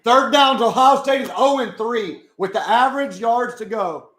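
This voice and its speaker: A young man with a hoarse voice, chatting casually with his live chat as he watches a football game. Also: extremely high pitch, normal speed, a bit bright, echoey room. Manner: chatty, swears, talks to chat. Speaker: live stream host.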